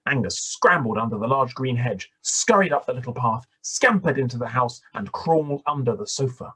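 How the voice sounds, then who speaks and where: A man reading a children's story aloud in an expressive, deliberate manner with dramatic pauses. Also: medium pitch, breathy edge, low-quality recording. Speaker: storybook reader.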